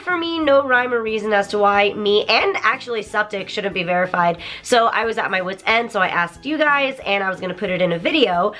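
A woman in her thirties with a croaky voice from a sore throat, chatting casually and animatedly to camera as a YouTuber in a home studio. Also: medium pitch, a bit bright. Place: bedroom studio with toy-filled shelves.

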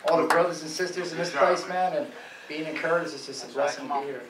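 A young man giving an earnest, relaxed graduation testimony on a church stage with a handheld mic. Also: fairly high pitch, fast. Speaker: program graduate. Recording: echoey church hall, far off, crowd.

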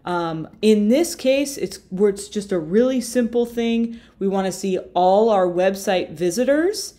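A young woman walking viewers through a screen-share software tutorial in a relaxed, explanatory way, with fillers and self-corrections, recorded on a webcam in a small room. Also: medium pitch, measured pace. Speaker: marketing tutor.

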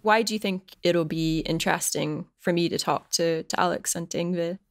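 A woman hosting a podcast, asking interview questions and narrating in a measured, clear way. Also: lowish voice.

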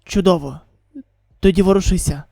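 Girl doing a gruff bossy manga guy, lowered mellow voice, curt and blunt. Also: moderate pace, no room sound, studio quality. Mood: curt, controlled. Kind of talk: manga dub acting.

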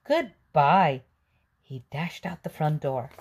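A woman narrating a children's picture book as a read-aloud storyteller, in an expressive, deliberate manner. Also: on the low side.

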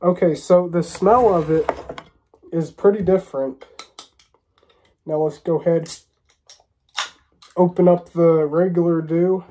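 A man's voice: fairly high pitch, steady tone, takes it slowly, pauses often, dark tone, slight background noise. A young American man with a stuffy, congested voice from a cold, chatting casually to camera as a reviewer in a small room. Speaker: vlogger reviewing drinks.

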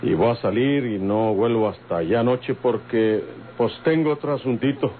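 An actor playing a commanding, cautious gang leader with a Mexican accent in an old radio drama. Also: male voice, mid-range voice, moderate pace, natural voice. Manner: firm, authoritative. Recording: tinny, slightly noisy old radio recording.

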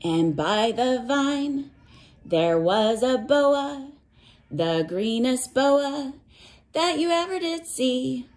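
Slow, high-voiced woman reading to children. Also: big pitch swings, a little mellow. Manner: simple, instructive phrasing aimed at young children. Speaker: read-aloud teacher for young children. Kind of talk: picture book read-aloud with simple explanations to children.

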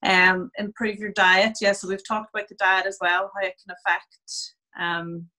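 Bright-voiced woman explaining skincare over video call. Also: medium pitch, little pitch movement, normal speed, poor audio. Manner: chatty, many fillers, explains step by step. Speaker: skin clinic therapist. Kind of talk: casual explanatory chat.

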